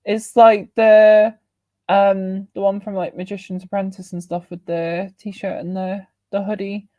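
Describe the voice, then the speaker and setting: A woman's voice: mid-range voice, level tone, measured pace, dark tone, no echo. A woman hosting a casual podcast chat, rambling and filling her talk with lots of "like" and "um". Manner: rambling, heavy on fillers. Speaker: podcast host.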